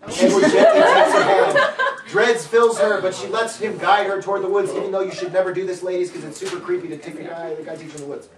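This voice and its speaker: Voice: medium pitch, very animated, fast talker. A narrator reading stage directions in a hammy, mock-dramatic way, with comic asides. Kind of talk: theatrical comedic script narration with asides. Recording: distant mic, echoey room, loud audience.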